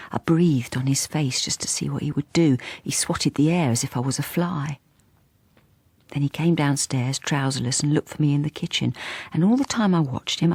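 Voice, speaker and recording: An actress playing a troubled middle-aged British wife who speaks hesitantly and confidingly in a radio drama. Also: female voice, deep voice, vocal fry, a little mellow, breathy edge, no echo, heavily acted. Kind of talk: intimate first-person radio drama narration and dialogue.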